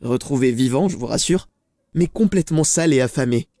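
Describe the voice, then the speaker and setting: A man's voice: medium pitch, lively intonation, fairly quick, dark tone, a little hiss or hum. A man narrating a scripted mystery documentary with dramatic, suspenseful phrasing. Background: background music.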